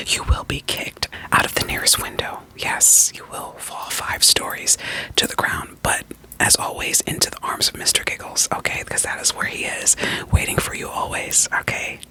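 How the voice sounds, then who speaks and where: A person whispering an ASMR roleplay as a doting, quirky therapist, soothing and reassuring with gentle questions. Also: rough recording. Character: doting, quirky therapist evaluating a vampire client.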